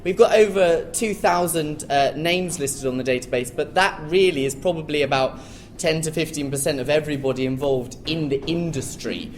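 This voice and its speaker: A man's voice: fairly high pitch, moderate pace. Light-voiced man presenting facts, noisy recording. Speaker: panellist, pantomime researcher. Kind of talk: informed panel discussion with statistics. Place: theatre, live panel. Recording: noisy live room recording.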